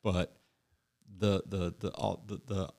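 A man's voice: low-pitched, little pitch movement, slow pace, creaky, mellow. A man in casual podcast chat who stumbles and restarts before making his point.

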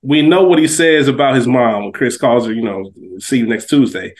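A man's voice: medium pitch, normal speed. A man co-hosting a podcast in casual chat, blunt and slangy with frequent swearing. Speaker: podcast co-host. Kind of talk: casual chat reviewing a TV series.

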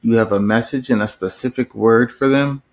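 A man's voice: medium pitch, moderate pace. Earnest man leading a prayer. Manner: earnest, steady prayer cadence. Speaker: podcast host. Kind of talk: spoken prayer and show intro.

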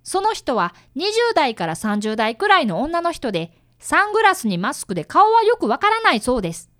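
Woman as an earnest, lively high-pitched schoolboy detective. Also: fairly quick, no room sound, studio quality. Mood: serious narrating. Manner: polite, youthful, explanatory. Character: earnest, polite fifth-grade boy apprentice detective. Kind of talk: radio drama acting with first-person narration.

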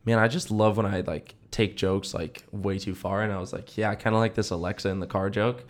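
A man's voice: fairly low pitch, brisk. A young man co-hosting a podcast, chatting casually in slang and acting out what people said as he tells stories. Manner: animated slangy storyteller, acts out quotes. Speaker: podcast co-host. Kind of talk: casual chat, storytelling.

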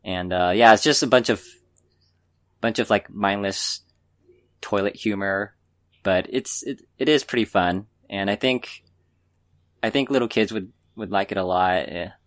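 A man's voice: lowish voice, a little slow, long pauses. A man co-hosting a podcast, talking casually and hesitantly as he gives informal opinions in a meandering way. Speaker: podcast co-host. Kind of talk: casual chat, informal film review.

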